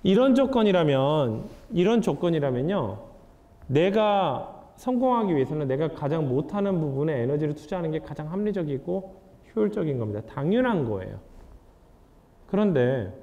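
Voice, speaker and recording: A man's voice: fairly high pitch, big pitch swings, normal speed, mellow, lo-fi sound. A young adult man giving a lecture, asking the audience questions in a candid, conversational way. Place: lecture hall. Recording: hall reverb.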